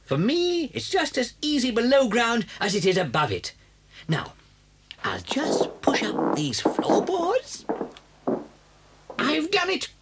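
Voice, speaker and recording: A man's voice: extremely high pitch, wildly swooping pitch, slow, pauses often, very noisy, heavily acted. A British actor playing a sly, confident, charming father fox in a children's audio story, suave and theatrical, relishing his words. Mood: sly, gloating.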